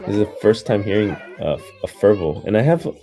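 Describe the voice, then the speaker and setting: A man hosting a livestream, chatting and reading comments in a soft, hesitant way with lots of fillers, low on energy and sleepy. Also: animated, brisk, faint music behind. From United States. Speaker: livestream host.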